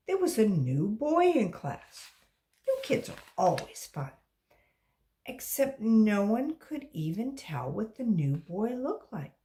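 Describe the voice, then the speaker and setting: Slow, very expressive woman storyteller. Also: medium pitch. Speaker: volunteer story reader. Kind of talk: animated read-aloud for young children.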